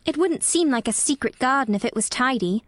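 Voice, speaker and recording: A woman's voice: sits high, lively intonation, brisk, dry recording, pitched above the performer's own voice. A woman voicing an earnest, eager and slightly anxious little girl in a storybook, with an intense, pleading manner. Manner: pleading, wide-eyed, intense.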